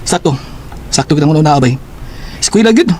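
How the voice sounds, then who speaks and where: A man's voice: a little high, animated, fast, a little mellow, slight background noise, lightly acted. An actor with a Cebuano accent playing a blunt, teasing male friend and coworker. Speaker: radio drama actor. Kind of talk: Cebuano radio drama acting.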